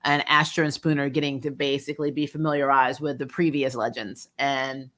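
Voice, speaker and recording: A woman giving a casual, opinionated recap as an online reviewer, expressive and informal in her slangy delivery. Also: extremely low pitch, a little fast. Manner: expressive, informal slang, frequent laughing asides. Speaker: YouTube TV show reviewer, host.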